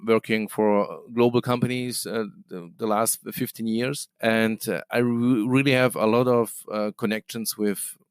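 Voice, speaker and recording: Man, guest in a business interview; smooth, hesitant, lots of fillers, dry sound. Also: on the low side, fairly even intonation, measured pace, hardly any pauses, no room sound. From Germany. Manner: hesitant, many fillers and restarts. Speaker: guest, business development manager. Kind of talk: casual interview answers.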